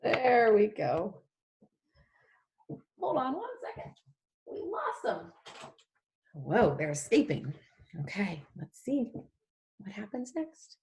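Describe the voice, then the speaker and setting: A woman's voice: medium pitch, sing-song, takes it slowly, pauses often, a little mellow. A woman leading a picture-book read-along for young children, talking in an exaggerated, sing-song way and drawing out key words.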